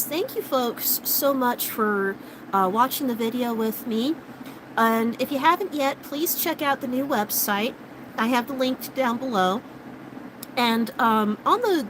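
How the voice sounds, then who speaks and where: Late-30s woman vlogger, rambling and casual, fairly high voice going a bit hoarse, noisy background. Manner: rambling, chatty, many fillers and restarts. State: starting to lose her voice. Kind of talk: casual chat with live viewers.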